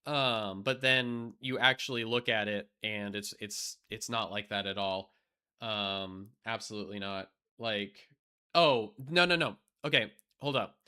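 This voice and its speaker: A man's voice: on the low side, a little slow, sharp tone, smooth. A young man chatting casually on a live stream, rambling with lots of fillers and restarts, blunt and profane, and losing his voice.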